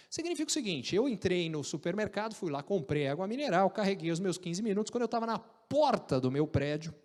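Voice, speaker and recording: A man's voice: sits high, a little mellow, in a large hall. A middle-aged man from Brazil telling career stories in a casual, joking, self-deprecating way. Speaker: speaker sharing career experience. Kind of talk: casual storytelling talk.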